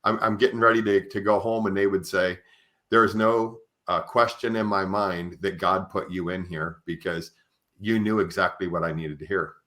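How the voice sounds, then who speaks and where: Low-voiced man hosting a podcast. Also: fairly even intonation, normal speed, fairly bright tone. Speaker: podcast host. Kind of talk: conversational interview with personal anecdotes.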